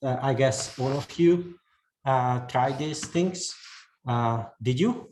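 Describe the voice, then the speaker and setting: A man whose first language is Hungarian gives a talk to a live audience, speaking slowly with many fillers and restarts. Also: medium pitch, a little mellow. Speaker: speaker giving a talk on AI tools. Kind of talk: presentation to an audience. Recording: echoey room, faint noise.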